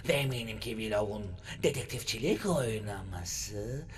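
A man voicing a sinister, hungry villain creature in a cartoon, gloating theatrically with a raspy voice. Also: lowish voice, animated, moderate pace, distant mic, a little hiss or hum, close to an everyday voice.

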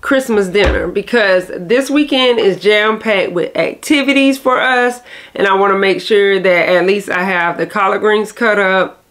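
A woman's voice: medium pitch, a little slow, a bit bright. A woman, chatting casually to camera in a rambling, self-correcting way. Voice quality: nasal. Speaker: vlogger. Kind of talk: casual vlog chat to camera.

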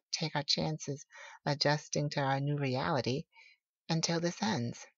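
A woman playing a tender, teasing boyfriend who soothes a sleepless lover in an intimate, slow ASMR roleplay. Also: deep voice, gravelly, heavily acted. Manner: soothing, affectionate, playful. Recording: roomy, slightly dull home recording.

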